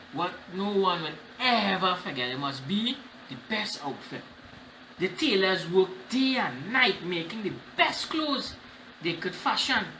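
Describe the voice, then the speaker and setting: A Trinidadian man reads a picture book to children. His bright voice rises and falls widely as he explains simply. The room sounds echoey, with a little noise. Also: sits high. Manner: explains by repeating phrases simply. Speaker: children's storytime host. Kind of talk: animated picture-book reading. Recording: roomy echo with faint noise.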